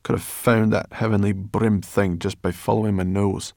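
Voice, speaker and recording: A man's voice: lowish voice, level tone, moderate pace, vocal fry, a little mellow, no echo, studio quality. A man voicing a cross, grumbling female brownie creature in a storybook reading. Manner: grumbling, scolding.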